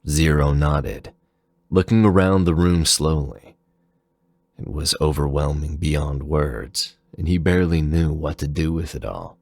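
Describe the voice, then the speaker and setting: Male narrator for dramatic fan fiction: creaky, close dry sound, deliberate and measured. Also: rumbling low, no room sound.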